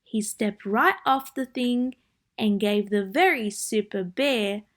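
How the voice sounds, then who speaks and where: A woman reading a children's storybook aloud with a deliberate, sing-song rhyming delivery. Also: medium pitch. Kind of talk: read-aloud storybook narration.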